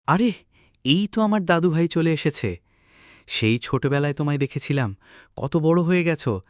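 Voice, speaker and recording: A man's voice: on the high side, expressive, normal speed, lightly acted. A man voicing a kindly old grandfather in a cartoon, welcoming his grandson.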